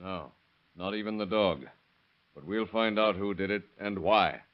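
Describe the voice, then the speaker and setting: A probing blunt sheriff, low voice, old radio crime show, muffled recording. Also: male voice, a little slow, faint music behind. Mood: intent. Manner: blunt, pressing questioner. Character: shrewd, probing male county sheriff questioning suspects. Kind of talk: 1930s radio crime drama acting.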